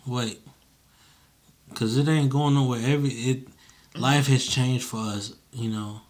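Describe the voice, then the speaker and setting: A man with a mid-pitched voice talks in a casual, conversational way. His phrasing is informal and slangy, and he repeats short phrases to stress a point. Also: fairly even intonation, measured pace. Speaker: interviewer.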